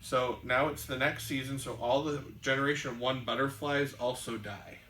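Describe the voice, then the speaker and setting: A man hosting a board game show at a home table, talking through the rules in a halting, stop-start way. his voice has a bright, forward edge. faint noise sits under it. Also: mid-range voice, steady tone, roomy sound, low-quality recording. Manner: halting, many ums and restarts. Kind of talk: casual rules explanation and chat.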